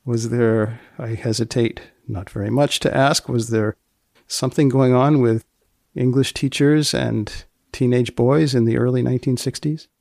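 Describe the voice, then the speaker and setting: Male host, dry close recording, gravelly edge; deadpan, mock-solemn, wordy banter. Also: mid-range voice, moderate pace, no room sound. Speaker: podcast host. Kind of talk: wry scripted commentary with banter.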